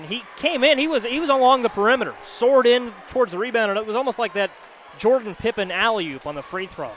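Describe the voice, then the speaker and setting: A man working as color commentator on a live radio basketball broadcast, explaining plays in a conversational way. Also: high voice. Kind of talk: live radio game analysis. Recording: faint gym noise, bouncing balls. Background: basketball game in a gym.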